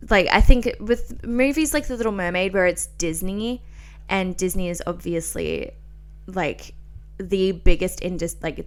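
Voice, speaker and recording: Clear-voiced young woman chatting casually. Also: mid-range voice. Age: 20s. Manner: chatty, rambling asides, frequent "like" and slang. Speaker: podcast host. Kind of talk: casual solo podcast chat.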